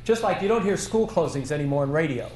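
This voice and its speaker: Male conference presenter, Q&A, measured and thinking aloud, slightly high voice, roomy hall sound. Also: animated, a little mellow, a little hiss or hum. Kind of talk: conference Q&A answer.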